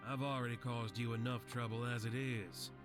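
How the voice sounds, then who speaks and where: A man playing a frail, sickly old veteran in a video game, weary, resigned and gentle. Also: mid-range voice, level tone, normal speed, lightly acted. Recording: game music behind the voice.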